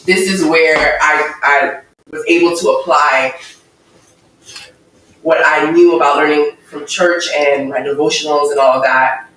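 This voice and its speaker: A woman guest talking about her life, earnest and thoughtful, pausing between her thoughts. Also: low voice, fairly even intonation, a bit bright. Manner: earnest, thoughtful, measured with pauses. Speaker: interview guest. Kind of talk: reflective personal testimony. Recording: echoey room, mic far off.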